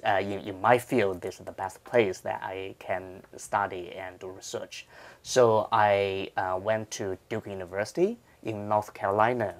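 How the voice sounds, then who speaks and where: A man in his thirties from China with a Chinese accent, explaining informally in measured, halting phrases as an interviewed professor. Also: on the low side.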